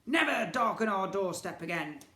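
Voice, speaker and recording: Man putting on a high, haughty, nasty old shopkeeper, echoey. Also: lively intonation, normal speed, vocal fry, sharp tone, exaggerated voice. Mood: angry scolding. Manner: haughty, scolding, exclamatory. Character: nasty, bitter old twin shopkeepers who hate children. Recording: echoey room.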